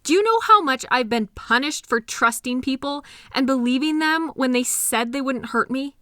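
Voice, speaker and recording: A woman acting a hurt, anxious girlfriend in an intimate audio drama, emotional, accusatory and halting as she argues with her partner. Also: high voice, bright, forceful tone, no echo, clean studio sound. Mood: anguished, fearful.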